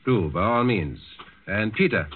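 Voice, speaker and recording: An imperious Nazi governor in a 1940s radio play. His voice is fairly low and his manner officious, suave and menacing. The sound is narrow, like an old broadcast. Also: lively intonation, a little slow, husky. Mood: menacing, probing. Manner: clipped, officious, condescending. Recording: band-limited old 1940s radio recording.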